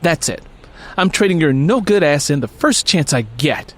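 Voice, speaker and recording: A man's voice: fairly high pitch, expressive, normal speed, a bit bright, pitched above the performer's own voice. A man voicing a frustrated, angry woman who scolds and curses her broken-down car in an audio drama. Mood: exasperated scolding. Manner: scolding, exasperated.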